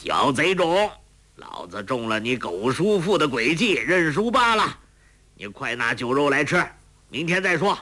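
A man voicing a gruff, crude old male beggar master who mocks and scolds in a storytelling audiobook. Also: low voice, big pitch swings, a little slow, sharp tone, heavily acted. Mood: gruff scolding. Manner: rough, mocking, coarse and laughing. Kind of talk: audiobook character voice.

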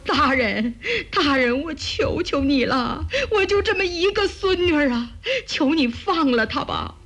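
A woman's voice: high-pitched, animated, unhurried, a bit bright, full character voice. A woman storyteller voicing a frail old grandmother who begs tearfully. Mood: desperate pleading. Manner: tearful begging.

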